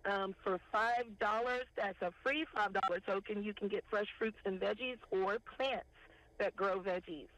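A woman, a farmers market director calling in to a morning news show and listing products in a steady, unhurried way. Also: medium pitch. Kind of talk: phone interview, listing products. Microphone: telephone. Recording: tinny phone line.

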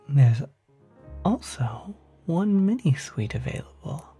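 A performer voicing a courteous, eager demon hotel receptionist in a soft, whispery, reassuring ASMR roleplay. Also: mid-range voice, breathy edge, heavily acted. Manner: polite, reassuring, salesmanlike.

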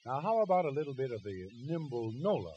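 A man's voice: mid-range voice, very animated, quick pace, highs cut off, heavy background noise. A man announcing in an old-time radio show style, on a vintage recording.

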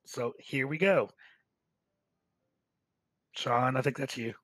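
A man hosting a staged reading, talking casually and haltingly. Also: medium pitch, fairly bright tone, dry recording. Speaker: host of a staged game reading. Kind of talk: casual commentary and introductions.

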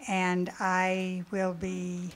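Very slow-spoken woman in her eighties. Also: lowish voice, monotone. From New Jersey, United States. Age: 80s. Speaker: party guest. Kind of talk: self-introduction. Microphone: handheld microphone. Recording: noisy room ambience.

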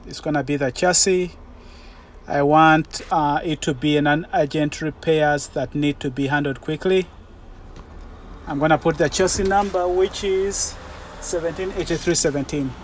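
Male truck-driver vlogger, slow and step-by-step, casual, faint vehicle noise in the background. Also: a little high, fairly even intonation. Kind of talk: casual vlog walkthrough, thinking aloud. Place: truck cab and outdoor rail yard.

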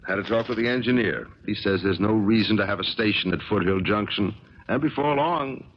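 A man's voice: lowish voice, fairly quick, natural voice. An actor playing a stubborn, suspicious man with a blunt, insistent manner in a 1970s radio drama. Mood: blunt, determined. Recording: crackly, dull 1970s radio recording.

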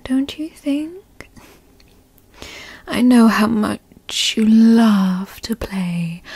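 A woman's voice: on the high side, dark tone, dry recording, heavily acted. A woman playing a whispering yandere girlfriend. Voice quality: whispery, breathy. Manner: slow, sing-song coaxing. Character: possessive yandere girlfriend, coaxing adult woman. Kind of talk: ASMR roleplay.

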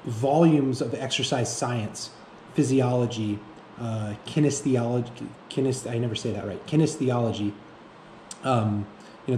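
A man with a soft-edged, mellow voice talks reflectively and without a script. He gropes for words and restarts phrases as he goes. The room adds noticeable echo, with faint noise underneath. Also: medium pitch. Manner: halting, searching for words, repeating himself. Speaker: fitness vlogger. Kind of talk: reflective unscripted monologue to camera. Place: indoor room with bare walls.

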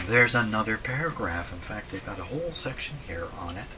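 A man's voice: on the low side, expressive, brisk, noisy background. A man explains things casually at a workbench, musing aloud and asking himself questions. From Canada. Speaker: host, radio repair hobbyist. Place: small cluttered indoor electronics workshop.

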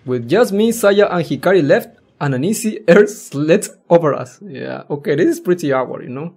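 A man's voice: a little high, animated, normal speed, darkish tone, husky. A man giving casual let's-play commentary, reading lines aloud and rambling, stumbling over words and swearing mildly. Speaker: let's player. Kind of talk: casual reaction commentary while reading a visual novel aloud.

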